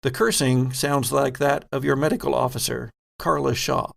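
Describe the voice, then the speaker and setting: A man narrating a tabletop horror role-playing game as game master, setting scenes deliberately with pauses mid-phrase. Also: mid-range voice, fairly even intonation, creaky, fairly bright tone.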